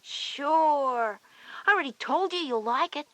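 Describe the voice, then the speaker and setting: A woman's voice: high-pitched, animated, unhurried. A woman playing a confident schoolgirl big sister who explains things reassuringly in a scripted children's audio drama. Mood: matter-of-fact explaining.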